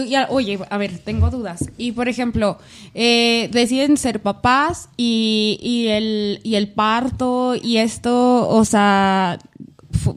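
A woman hosting a podcast, thinking aloud and asking questions conversationally. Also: medium pitch. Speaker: podcast host.

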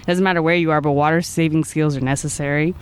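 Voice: medium pitch, fairly even intonation, fast, clean tone. A person in their twenties, a podcast guest talking casually and rambling, with lots of "like" and "I feel like" and frequent little laughs. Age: 20s. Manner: rambling, many "like" and "I feel like" fillers, laughs often. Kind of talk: casual interview chat.

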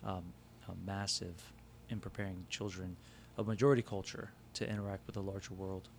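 A man's voice: deep voice, little pitch movement, brisk, slight background noise. A man co-hosting a conversational discussion who listens closely and affirms often. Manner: affirming listener, frequent agreement. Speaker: podcast co-host.

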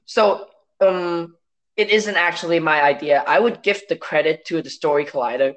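A man from Hong Kong whose first language is Cantonese, speaking as an interview guest in a measured, reflective way with some restarts. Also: a little high, fairly bright tone, poor audio. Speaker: guest, science story editor.

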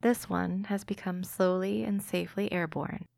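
Soothing, flat-voiced meditation guide woman. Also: fairly low pitch, vocal fry, no echo, studio quality. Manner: slow, soothing, gentle delivery. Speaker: meditation podcast host.

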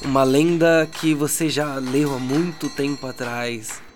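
A man with a Brazilian accent working as game master, narrating a tabletop role-playing story in a dramatic, deliberate way with pauses. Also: medium pitch, fairly even intonation. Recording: music bed behind the voice. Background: background music.

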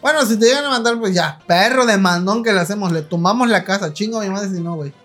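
Slangy Mexican podcast host. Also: male voice, high-pitched, fast, a bit bright, soft background music. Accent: Mexican Spanish. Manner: slangy, laughing, reads chat comments aloud. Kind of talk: casual chat.

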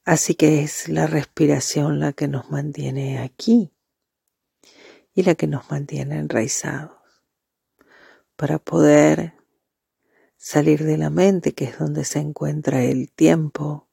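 A meditation guide speaking softly and breathily in a calming, deliberate way with marked pauses. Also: medium pitch, vocal fry, husky, no echo, clean studio sound.

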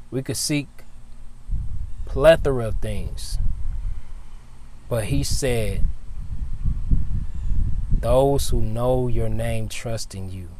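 A man's voice: mid-range voice, a little mellow. A young man in his late twenties gives a casual devotional sermon outdoors. He repeats his phrases for emphasis and keeps calling his listeners "man". Faint noise sits under his voice. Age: 20s. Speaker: preacher.

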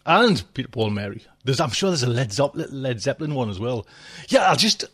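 A man's voice: mid-range voice, very animated, brisk, a bit bright, slightly rough. A man hosting a podcast from home, chatting casually and rambling with many asides and fillers. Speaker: podcast host.

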